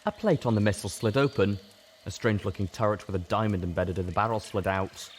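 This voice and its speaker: Very deep-voiced male story narrator. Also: fast, a little hiss or hum. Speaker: audiobook narrator. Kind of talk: scripted first-person story narration.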